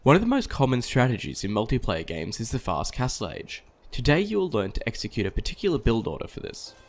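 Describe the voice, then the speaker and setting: A man's voice: mid-range voice, wildly swooping pitch, fast talker. A man narrating a scripted game tutorial in a precise, explanatory, directive way.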